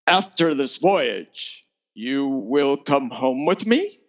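A man's voice: mid-range voice, expressive, takes it slowly, muffled recording. A man voicing a commanding, besotted alien imperial officer, imperious but pleading, as dialogue in a space opera audiobook.

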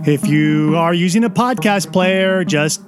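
A man's voice: high voice, measured pace, music in the background. A man hosting a podcast, presenting to listeners and putting questions to a guest. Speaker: podcast host.

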